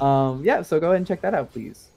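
A man's voice: on the high side, expressive, fast talker, creaky, mellow, lo-fi sound. A man chatting casually as a guest on a podcast. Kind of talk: casual chat.

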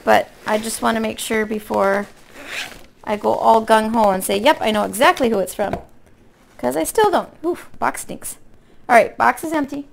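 A woman with a hoarse voice from being sick, chatting casually in a folksy, rambling way as a craft vlogger. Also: a little high, normal speed, a little hiss or hum. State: hoarse from illness with a cough. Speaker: craft vlog host. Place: indoor room at a table.

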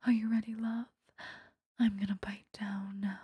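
A woman voicing an obsessive, possessive female vampire in a breathy, coaxing ASMR whisper, close to the mic. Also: medium pitch, little pitch movement, mellow, no room sound. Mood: hungry, possessive. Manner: slow, coaxing, intimate. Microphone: close microphone.

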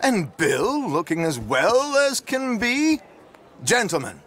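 Theatrical, swooping dapper gentleman rogue. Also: sits high, very animated, slow pace, full character voice. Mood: genial, effusive. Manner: theatrical, flowery, wry politeness. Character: dapper, flamboyant gentleman rogue, airily ironic and courteous. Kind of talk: dramatic video game cutscene acting.

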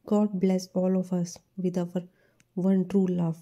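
Woman, tender intimate monologue, soft and partly whispered; very warm dark tone, even and unhurried. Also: fairly low pitch. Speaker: speaker addressing a loved one.

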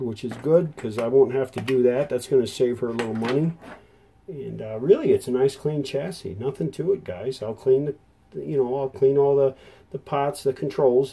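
Easygoing guy talking thru a repair at his bench, bit husky, soft-edged mellow tone. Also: mid-range voice. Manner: folksy, easygoing, thinking aloud. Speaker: host of a radio repair channel. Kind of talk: casual hands-on repair walkthrough. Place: indoor workshop at a bench.

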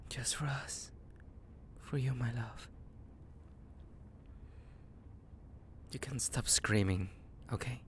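A man voicing an obsessive, falsely sweet young male friend in an intimate audio roleplay, soft and teasing, often breathy. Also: mid-range voice, a little hiss or hum, natural voice. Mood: creepy, tender, obsessive. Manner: slow, chuckling, teasing, coaxing.

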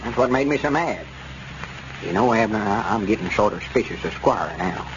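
An actor playing a stubborn, suspicious old rural justice of the peace in a radio comedy, with a folksy Southern accent. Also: male voice, on the low side, animated, normal speed, close to an everyday voice. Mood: suspicious, indignant. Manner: folksy, rustic, ungrammatical speech. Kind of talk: 1930s radio comedy acting. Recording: muffled, noisy old radio broadcast.

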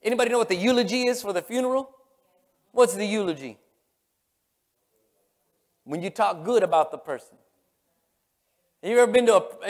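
A middle-aged man preaching a sermon in an emphatic, repetitive way from a church stage. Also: high voice. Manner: emphatic, repetitive, swinging from loud exclamations to hushed murmurs. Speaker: pastor preaching. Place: large church sanctuary, on stage. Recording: long hall reverb from sanctuary.

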